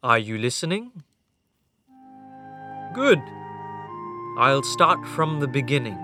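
A man's voice: medium pitch, big pitch swings, slow pace, bright, forceful tone, faint music behind, exaggerated voice. A man playing a sly captive storyteller in an audio drama, taunting and persuasive with a theatrical delivery. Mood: coaxing. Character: sly, ancient male captive storyteller bargaining for life.

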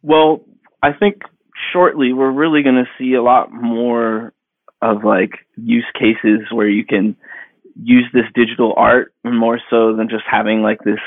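A man in his thirties, a digital artist, answering interview questions in a rambling, halting way full of fillers and restarts. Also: medium pitch, level tone, dry recording. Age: 30s. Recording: dry tinny phone-like line.

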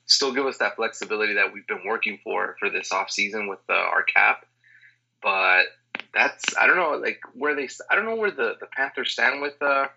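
A man guest on a sports podcast, talking in an animated way with lots of restarts over an internet call. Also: fairly low pitch, gravelly, lo-fi sound. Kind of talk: casual sports opinion chat.

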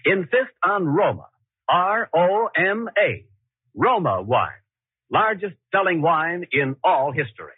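A man's voice: high-pitched, sing-song, takes it slowly, no echo. A male radio announcer reading scripted copy with polished, emphatic 1940s salesmanship. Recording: roughened, muffled 1940s radio broadcast, faint music.

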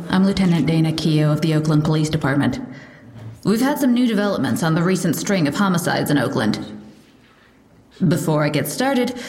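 Woman as police lieutenant, audio drama, formal and measured, slightly low voice, roomy echo. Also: a little mellow, natural voice. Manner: formal, measured official statement. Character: composed female police lieutenant giving a press briefing.

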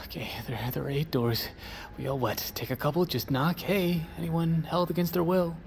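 A man's voice: a little high, steady tone, normal speed, creaky, a little hiss or hum. Grumbling, sarcastic, reluctant crewman. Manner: sardonic, complaining, hesitant. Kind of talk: audio drama acting.

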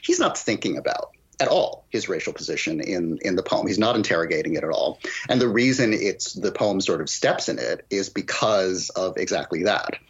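A man's voice: sits low, expressive, moderate pace, slightly rough, dull audio. A man talking as a guest critic in a literary podcast discussion, analytical and thinking aloud, often posing rhetorical questions.

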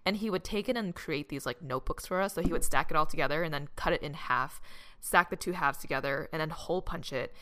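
A woman in casual conversation, sharing childhood memories in a lively, animated way. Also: fast. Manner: animated storyteller, acts out her childhood self in a high voice. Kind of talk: casual chat.

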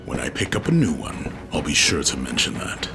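Cocky, swooping space outlaw chief. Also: male voice, a bass voice, huge pitch swings, fairly quick, heavy background noise, exaggerated voice. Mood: sardonic, threatening. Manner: sardonic, taunting, theatrical. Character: cocky, mocking, dangerous male space outlaw chief holding hostages. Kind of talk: audio drama acting.

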